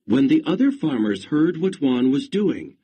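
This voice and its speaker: Slow, husky male storybook reader. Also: mid-range voice. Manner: slow, expressive reading. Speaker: story narrator. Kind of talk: read-along storybook narration.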